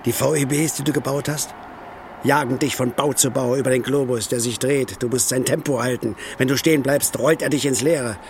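An actor playing a weary, bitter, hard-drinking East German building worker, declaiming poetically in a theatrical radio play. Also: male voice, medium pitch, fairly even intonation, fairly quick, slight background noise, natural voice. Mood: brooding.

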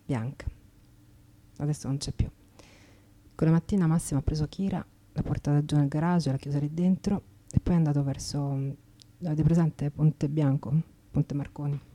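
A woman as a low, weary Roman streetwalker. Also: warm tone, lightly acted. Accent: Roman Italian. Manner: subdued, plainspoken. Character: weary, frank Roman streetwalker confiding her painful life. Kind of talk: intimate dramatic monologue.

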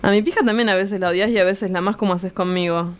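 A woman's voice: medium pitch, fairly even intonation, fast, lightly acted. A woman playing a crude, arrogant, lecherous macho lover in a radio drama, provocative and insistent. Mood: taunting.

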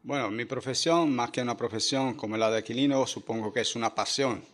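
A middle-aged man from Italy speaks Spanish with a clear Italian accent, his first language showing through. His voice is ordinary in pitch, and he explains things in an earnest, patient way. He is a guest in a radio studio. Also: a little fast, fairly bright tone. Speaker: former police officer guest. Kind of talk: casual radio interview answers.

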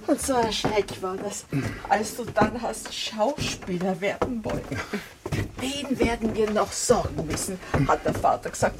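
A woman's voice: on the high side, heavy background noise. An actress playing a doting, rambling elderly mother with an Austrian accent in a radio drama, emotional and reproachful. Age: older.

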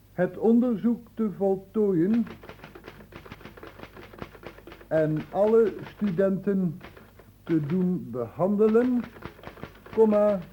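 A man's voice: high-pitched, lo-fi sound. A man acting in a radio play as a grave, precise and insistent doctor. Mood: formal, dictating. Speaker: actor in a radio drama. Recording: noisy old radio recording with clicks.

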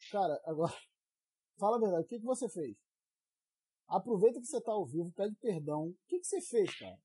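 Husky joking male podcast host. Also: sits high, big pitch swings, warm tone, poor audio. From Rio de Janeiro. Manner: joking, teasing, quick asides. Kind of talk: casual podcast banter.

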